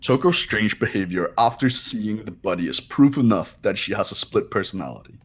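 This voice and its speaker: Man voicing haughty young genius, cold and declarative, low pitch, rough husky tone, mystery game dub. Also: expressive, brisk, fairly bright tone. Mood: smug, certain. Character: arrogant, cold, accusing young rich genius. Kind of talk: amateur game dub, dramatic reading.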